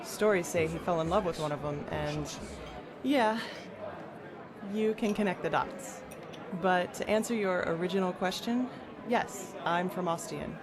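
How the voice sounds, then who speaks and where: A woman's voice: on the low side, a little slow, crowd noise, lightly acted. A woman playing a frank, worldly adventurer in a fantasy game, talking in a casual, wry and blunt way. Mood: candid, animated. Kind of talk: scripted fantasy video game dialogue.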